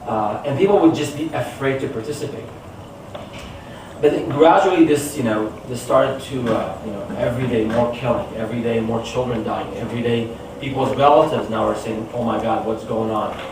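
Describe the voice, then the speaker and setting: A man's voice: medium pitch, moderate pace. A man giving an informal public presentation as a guest speaker, talking conversationally and often checking in with listeners. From born in Damascus, Syria, moved to the US when young. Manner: conversational, often checking with "you know" and "right?". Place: indoor room in front of an audience. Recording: very echoey room, distant mic, clear noise.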